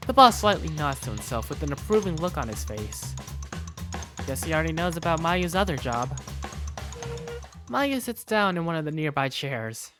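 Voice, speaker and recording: Lively, lowish-voiced woman narrator. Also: moderate pace. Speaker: narrator and lead character voice. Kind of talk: first-person scripted narration, amateur game fandub. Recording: faint music behind the voice.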